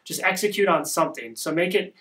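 Young guy rambling about marketing on a home podcast, soft bright voice, room mic. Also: fairly high pitch, animated, fairly quick. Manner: rambling, upbeat, self-described soft voice. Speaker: podcast host. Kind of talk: casual marketing podcast talk. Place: small room at home, indoors.

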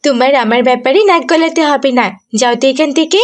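A rude, defiant girl from a cartoon, acted by a woman. Her voice sits high and she snaps dismissively at whoever speaks to her, full of self-importance. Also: brisk, lightly acted. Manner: snappy and dismissive. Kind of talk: cartoon voice acting.

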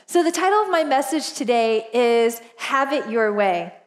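High-voiced chatty woman pastor in her forties. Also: normal speed. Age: 40s. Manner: chatty and animated, laughs often, lots of fillers. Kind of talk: casual storytelling sermon. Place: church stage, large indoor auditorium.

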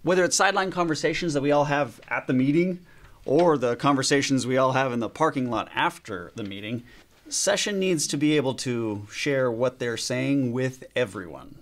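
An actor in his thirties playing a calm young pastor in a training film, explaining in a measured way. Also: mid-range voice, lightly acted. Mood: instructive. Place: small meeting room.

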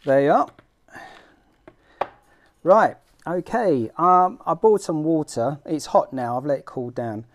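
A middle-aged man with a British accent hosting an informal homemade how-to vlog, talking in a halting, self-deprecating way as he works. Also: on the high side. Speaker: fishing vlog host. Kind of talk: casual how-to demonstration. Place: small room at home, indoors.

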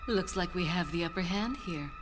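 An actress playing a cool, aloof young spy in a video game, teasing and self-possessed. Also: female voice, on the low side, normal speed, over music, lightly acted. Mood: coolly teasing. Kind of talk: video game cutscene acting.

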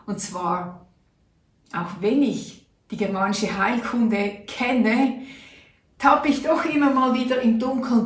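A woman's voice: mid-range voice, far from the mic. A middle-aged woman, telling a personal story to camera in an earnest, chatty way, seated at a table in a small room. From Switzerland. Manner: chatty, earnest, acts out her own thoughts. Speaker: natural health vlogger. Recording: roomy sound from off-mic.

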